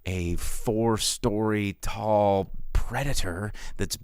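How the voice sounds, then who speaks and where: A man's voice: lowish voice, extremely slow, a little mellow, clean tone. A man hosting a live talk show, giving casual, opinionated commentary in a slangy, sweary way and acting out imagined quotes.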